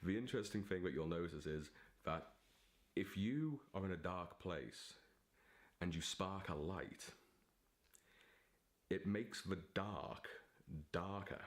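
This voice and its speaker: A man's voice: deep voice, takes it slowly, long pauses. A man talking casually to camera as a vlogger and writer, in a theatrical, giddy, digressive manner. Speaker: vlogger and aspiring novelist.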